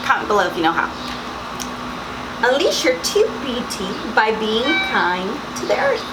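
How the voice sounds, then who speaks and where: A young woman with a slightly raspy morning voice, chatting casually through a beauty box unboxing and reading product labels aloud. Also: fairly high pitch, huge pitch swings, moderate pace, noisy background. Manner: chatty, reads labels aloud, frequent mm-hmms. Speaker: beauty vlogger.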